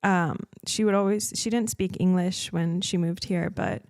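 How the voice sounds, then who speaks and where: Gravelly-voiced young woman, hesitant. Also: fairly low pitch, steady tone, moderate pace, a little mellow, no room sound, studio quality. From United States. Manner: hesitant, many fillers, searching for words. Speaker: racing driver, guest. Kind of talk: casual interview chat.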